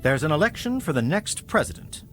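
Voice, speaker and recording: Grandiose, self-important little-nation leader for a game; hugely animated pitch, music behind. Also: male voice, brisk. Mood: pompous. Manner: pompous, grandiose. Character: pompous self-important male president of a tiny republic. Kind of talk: video game character dialogue.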